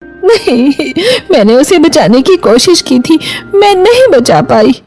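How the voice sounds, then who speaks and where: ऊँची, नरम आवाज़ वाली पीड़ित युवा बहू महिला कलाकार. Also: female voice, sits high, big pitch swings, takes it slowly, warm tone, faint music behind, full character voice. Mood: tearful. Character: wronged young wife, pleading, then defiant. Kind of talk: Hindi moral story dramatization.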